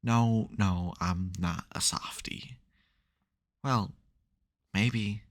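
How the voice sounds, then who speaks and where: A man playing a supervillain nemesis who is secretly soft, teasing dryly and getting flustered in an intimate audio roleplay. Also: fairly low pitch, very slow. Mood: reassuring, sheepish. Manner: dry teasing, hesitant, mock-grudging.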